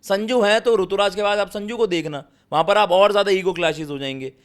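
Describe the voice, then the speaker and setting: A man's voice: sits high, fairly quick. A man giving opinions as a panelist in a casual cricket debate, colloquial and slangy, mixing in English words. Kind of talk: casual sports debate chat.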